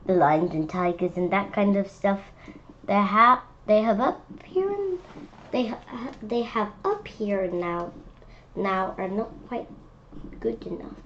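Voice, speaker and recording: A woman reading a children's picture book aloud in a halting way, breaking off for spontaneous asides and questions. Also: mid-range voice, animated, fairly bright tone. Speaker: read-aloud presenter. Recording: dull lo-fi sound with faint noise.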